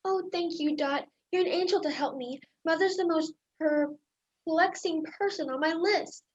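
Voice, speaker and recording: A woman's voice: very high voice, a little slow, muffled recording. A woman playing a grateful, chirpy shopper in an old-time style radio commercial.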